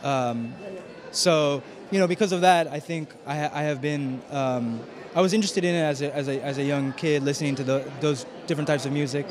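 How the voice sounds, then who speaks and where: A man giving a festival interview as a film director, speaking haltingly as he searches for words. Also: on the high side. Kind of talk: red carpet interview. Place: film festival red carpet. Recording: busy crowd and urban noise behind. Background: crowd chatter.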